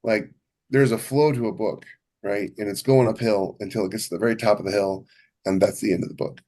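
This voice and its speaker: A man's voice: fairly low pitch, steady tone, brisk, dark tone. A man co-hosting a writing podcast as a novelist, chatting casually and emphatically, often rephrasing himself as he goes. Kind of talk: casual chat about writing craft.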